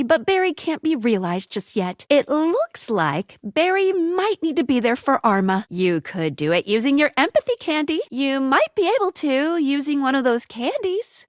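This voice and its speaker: Casting: bubbly, cheeky female helper for a dating sim game; high-pitched, very animated delivery. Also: dry recording, studio quality. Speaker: video game voice actor.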